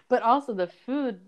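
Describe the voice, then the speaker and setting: A woman co-hosting a podcast in casual chat, laughing often and speaking earnestly. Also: on the high side. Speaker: podcast co-host.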